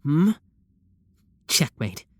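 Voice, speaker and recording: A man playing a devoted, earnest kidnapper sibling in an ASMR roleplay, with a deadpan, matter-of-fact delivery. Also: very slow, no echo. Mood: earnest, reassuring. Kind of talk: scripted ASMR roleplay acting.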